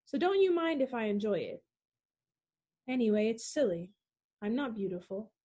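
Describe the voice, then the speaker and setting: A woman playing a bold, dismissive small-town woman of thirty-three in a 1940s-style radio play, brisk and scoffing. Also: on the high side, big pitch swings, mellow, highs cut off. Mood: breezy defiance.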